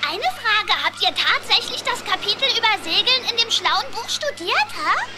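A woman's voice: very high-pitched, big pitch swings, moderate pace. A woman voicing a plucky, hopeful young boy duckling in a cartoon audio play, eager and exclamatory. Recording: loud noise behind the voice.

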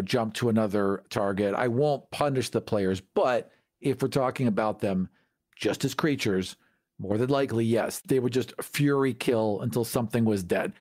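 A man of medium pitch chats as a podcast co-host about tabletop games. His delivery is conversational and measured, laying out his reasoning step by step. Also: a little mellow. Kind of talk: casual tabletop gaming discussion.

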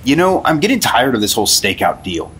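An actor playing an earnest, hard-boiled rookie federal agent in a 1950s radio drama. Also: male voice, mid-range voice, sing-song, fairly quick, fairly bright tone, slightly rough. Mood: earnest, fed up.

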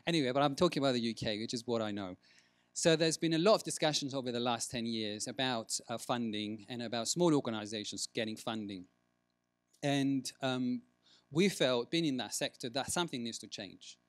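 Guy giving a conference talk, medium pitch, stresses his points, pretty dry audio. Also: fairly quick, dry recording. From Greece. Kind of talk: conference presentation with discussion. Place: large conference room, at a lectern.

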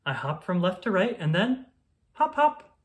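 A woman's voice: mid-range voice, big pitch swings, a little slow. A woman voicing a small, cheerful hopping storybook creature with bouncy, repetitive rhyming speech.

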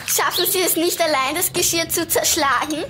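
A cheeky, very high-voiced little girl. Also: normal speed, sharp tone, a little hiss or hum. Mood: cheeky, indignant. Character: Poppy, cheeky bright young girl detective. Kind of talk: children's radio play acting.

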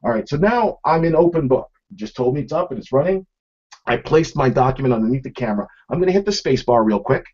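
Man, middle-aged, informal demonstrator, conversational explaining, medium pitch, muffled lo-fi recording. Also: lively intonation, quick pace, a little mellow. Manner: explanatory, conversational, addressing viewers directly. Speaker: product demonstrator. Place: small office room, indoors. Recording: dull, lo-fi webcam stream sound.

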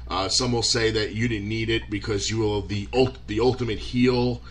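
A man's voice: lowish voice, fairly even intonation, normal speed. A man hosting a podcast interview, asking eager questions with frequent stammers. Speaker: podcast host. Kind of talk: casual interview.

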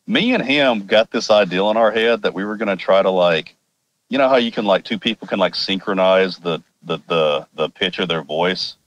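A man's voice: low-pitched, moderate pace, fairly bright tone, low-quality recording. A man from Arkansas with an American accent, casually telling a personal story in an informal, rambling, wry way. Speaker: podcast guest telling personal experiences.

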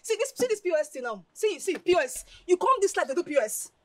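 A high-pitched young Nigerian woman, the money-minded best friend in a screen drama. She coaxes and wheedles theatrically, repeating her pleas and slipping into Pidgin turns of phrase. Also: lively intonation, fairly quick, a bit bright. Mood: gushing, coaxing. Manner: wheedling, coaxing, repetitive pleading.

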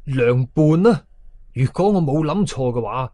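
A man voicing a calm, blunt young ghost hunter in a horror story, casual, with a Hong Kong Cantonese accent. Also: mid-range voice, a little slow, no echo. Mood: blunt, grave.

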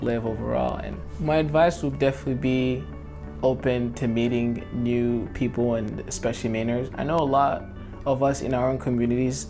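A man's voice: mid-range voice, vocal fry. A young man who grew up in Congo, speaking earnestly and cheerfully about his life in an interview. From Democratic Republic of Congo. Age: young adult. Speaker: interviewee, college student. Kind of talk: personal testimony to camera. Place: indoor studio against a light wall. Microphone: lapel mic. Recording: guitar music underneath.

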